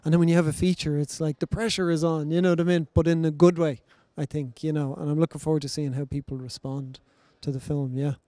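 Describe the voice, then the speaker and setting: A man in his forties with a mellow, somewhat high voice, speaking thoughtfully and rambling a little. The sound is close and dry from a handheld mic. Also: little pitch movement, fast, few pauses, no echo. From Ireland. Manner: thoughtful, rambling, many fillers. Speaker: film director. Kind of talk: casual panel conversation. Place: large bright festival lobby.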